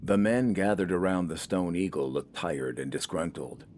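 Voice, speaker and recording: A man reading a scripted dramatic story in a measured, deliberate storytelling manner. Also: low voice, a little mellow, slight background noise. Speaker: audiobook narrator.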